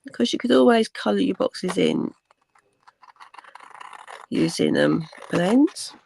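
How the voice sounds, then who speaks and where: An older woman with a croaky voice, chatting casually through a craft tutorial, rambling with many ums and dropping to a whisper while she works. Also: medium pitch, wildly swooping pitch, slow, darkish tone, poor audio. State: croaky from cold weather. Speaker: crafting channel host.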